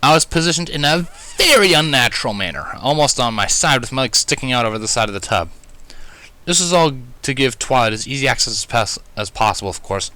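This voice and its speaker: A man reads fiction aloud in a bright, lively voice. His narration is wry and expressive, with a widely moving pitch and the odd stumble. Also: fairly quick, no room sound. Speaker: fan fiction reader. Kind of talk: expressive first-person story narration.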